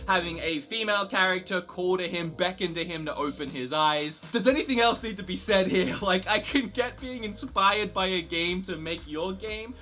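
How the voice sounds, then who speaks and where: A gaming commentator delivering game news in a wry, sarcastic, animated way. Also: mid-range voice, moderate pace, few pauses.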